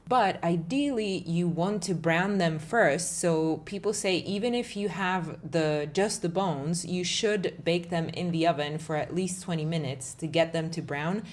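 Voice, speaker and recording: Young woman, Czech accent, lowish voice, relaxed chatty cooking narration. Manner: relaxed, chatty explaining with light laughs. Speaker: home cooking vlogger. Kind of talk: step-by-step cooking voice-over.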